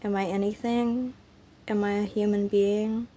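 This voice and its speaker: A woman with a sick, raspy voice, hosting her poetry channel and reading her own poems in a slow, unhurried way with frequent ums. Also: medium pitch, flat delivery, mellow.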